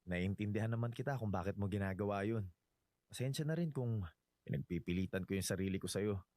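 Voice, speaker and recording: A man's voice: fairly low pitch, brisk, a little mellow, no room sound, studio quality, natural voice. A man with a Filipino accent playing a devoted suitor in love in a romantic radio drama, earnest and emotive. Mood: earnest, tender.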